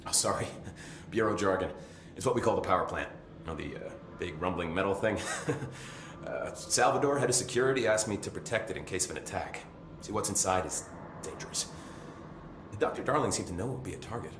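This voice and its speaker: A man's voice: sits low, expressive, brisk, noisy background. An actor playing a chatty, joking male security chief in a video game, talking casually and laughing between lines. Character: jokey harried male security chief, middle-aged. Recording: echoey room with loud ambience.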